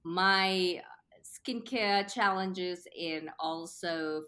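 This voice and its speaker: A woman in her thirties from Sri Lanka, answering interview questions in a measured, thoughtful way with frequent "uh" pauses. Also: on the low side, pushed and bright. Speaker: company founder, guest.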